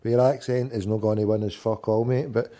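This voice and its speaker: Blunt man with a mellow, dark voice. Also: fairly low pitch, steady tone, brisk. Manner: blunt, crude banter. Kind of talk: casual chat.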